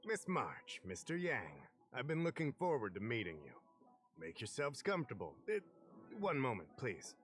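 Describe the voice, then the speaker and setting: A courteous investigator in an anime-style game, bright and animated at a middling male pitch. His manner is formal and businesslike, with music underneath. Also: moderate pace. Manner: polite, formal.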